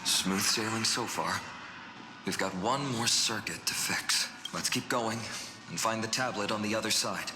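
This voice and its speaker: Casting: male actor as composed young video game hero, understated delivery, lowish pitch, roomy echo. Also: measured pace, close to an everyday voice. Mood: calm, hopeful. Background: game music.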